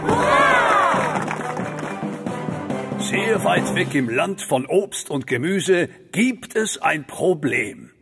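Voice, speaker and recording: Theatrical announcer, children's cartoon, high pitch, animated, very drawn-out, loud music. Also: male voice, creaky, exaggerated voice. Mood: grand. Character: theatrical showman announcer of a superhero film. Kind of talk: announcer in a children's audio play.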